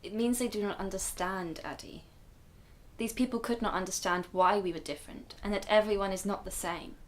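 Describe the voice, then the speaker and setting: A woman playing an earnest, protective grandmother in a radio drama, speaking in a measured, explanatory way. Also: medium pitch, steady tone, close to an everyday voice. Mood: earnest, reassuring.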